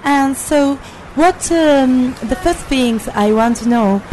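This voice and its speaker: An Italian woman hosting a travel vlog, chatty and lively, halting and careful when she switches to English with an Italian accent. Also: high-pitched, a little hiss or hum, poor audio. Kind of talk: casual on-the-street presenting.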